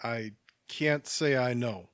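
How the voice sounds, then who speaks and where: An actor playing a wry but kindly stranger in an audio drama, conversational and dry-witted. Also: male voice, mid-range voice, slow pace, fairly bright tone, dry recording. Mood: gentle, wry. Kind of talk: audio theatre acting.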